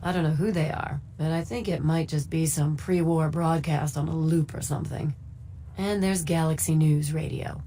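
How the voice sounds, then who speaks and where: An actress as an easygoing woman of a wasteland saloon in a video game. Her voice sits on the low side, and she speaks frankly and casually. There is faint noise behind her. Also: fairly even intonation, moderate pace, warm tone, natural voice. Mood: casual, explaining. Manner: casual, matter-of-fact, colloquial. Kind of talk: video game character dialogue.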